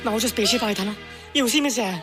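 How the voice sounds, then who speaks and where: A man playing an eager, high-pitched childlike young man. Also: racing, natural voice. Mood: pleading. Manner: boyish, pleading, repeats phrases. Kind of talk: Hindi film drama dialogue. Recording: film dialogue under music.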